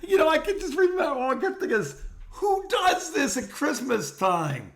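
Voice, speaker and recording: Animated man chatting on a podcast. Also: extremely high pitch, moderate pace, over a noisy crowd. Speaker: podcast co-host. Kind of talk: casual conversational chat.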